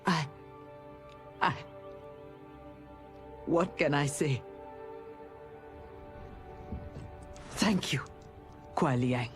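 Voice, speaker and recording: A woman's voice: low voice, very slow. An actress playing an earnest, humble warrior woman in a video game, sincere and formal in her careful speech. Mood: moved, grateful. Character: earnest, humble female warrior seeking acceptance. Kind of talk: cinematic video game dialogue and narration. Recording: music behind the voice.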